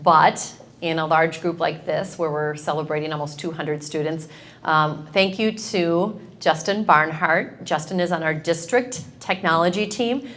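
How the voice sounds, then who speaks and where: A woman's voice: low-pitched, a little slow, fairly bright tone. Middle-aged woman principal, upbeat and direct, projecting to a big room of students on a lapel mic. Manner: upbeat, direct, speaks up to a crowd. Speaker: high school principal. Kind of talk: addressing a student assembly. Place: large school cafeteria with students.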